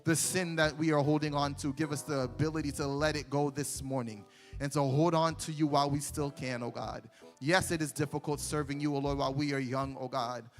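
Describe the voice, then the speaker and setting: A man leads a fervent prayer in a church, his slightly high voice surging and settling as he works through confession. He keeps returning to "oh God" and "oh Lord" between phrases. Gentle instrumental music plays beneath him. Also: steady tone, a little fast. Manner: repeats "oh God" between phrases, rising and falling intensity. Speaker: worship leader praying. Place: church sanctuary.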